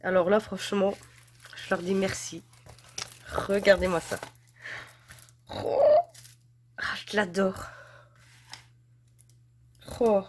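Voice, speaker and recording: A woman's voice: mid-range voice, steady tone, takes it slowly, pauses often, background noise. Chatty woman with a head cold. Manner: chatty, gushing, filler-heavy. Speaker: crafts channel host. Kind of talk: casual unboxing chat.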